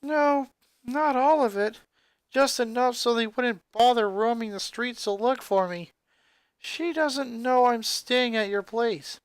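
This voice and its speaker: A hurt young boy acted out by a story narrator speaks in a raised pitch, clipped and accusing. He is bitter and unforgiving, and the sound is very dry. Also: slow, clear, resonant tone, no echo, natural voice. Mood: weary.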